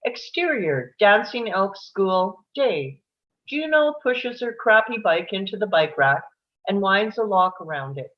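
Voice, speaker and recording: A woman's voice: medium pitch, sing-song, measured pace, dull audio. A woman reading stage directions as the narrator of a live screenplay table read.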